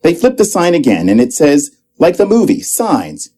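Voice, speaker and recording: A man's voice: on the high side, wildly swooping pitch, moderate pace, dark tone. A man narrating a scripted comedy audio drama, setting each scene.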